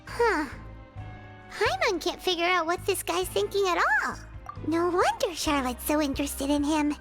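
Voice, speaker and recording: Tiny squeaky excitable fairy companion. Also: female voice, measured pace, music in the background, full character voice. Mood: puzzled. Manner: excitable, exaggerated, expressive. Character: small childlike fairy companion, chirpy, wary, talks in third person. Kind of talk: video game voice acting.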